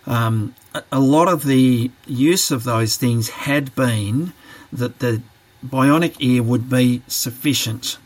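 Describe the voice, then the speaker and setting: A man answering interview questions on a podcast, reflective and careful, often pausing with fillers as he works through his ideas. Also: medium pitch. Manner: reflective, hesitant, many fillers and restarts. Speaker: podcast guest, training manager.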